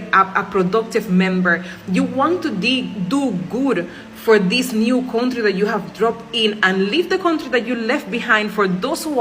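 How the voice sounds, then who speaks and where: A woman's voice: mid-range voice, a bit bright, slight background noise, low-quality recording. A Cuban woman in her forties whose first language is Spanish, speaking English with a Jamaican and Spanish-mixed accent in an earnest, pleading personal monologue. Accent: Cuban Spanish mixed with Jamaican Patois. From Cuba. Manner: earnest, pleading, repeats phrases for emphasis.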